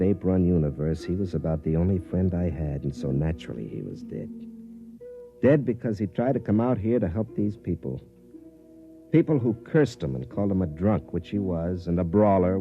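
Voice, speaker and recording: A hard-bitten American army veteran with a low, weary voice. He speaks in a brooding, cynical way on an old, narrow-band radio drama recording with music around it. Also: mellow. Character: weary, cynical career soldier, twenty years in army. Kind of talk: 1950s radio drama acting, first-person narration.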